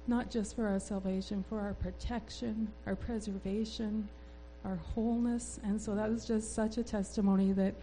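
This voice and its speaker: A woman's voice: mid-range voice, mellow, slight background noise, low-quality recording. A woman sharing a testimony in church and fervently urging listeners to pray over their families. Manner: fervent, exhorting. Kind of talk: exhortation in a church service.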